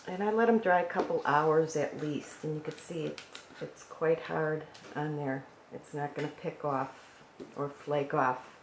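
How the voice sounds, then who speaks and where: A woman with a hoarse, froggy voice calmly talking through a craft painting tutorial at her work table. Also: low-pitched. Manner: calm, gentle instructional talk while working. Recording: echoey room with faint noise.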